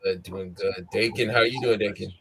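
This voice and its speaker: A man's voice: on the low side, fairly even intonation, brisk, crowd noise. A man hosting a weekly live show in casual chat, with a scratchy morning voice, rambling, tired but upbeat.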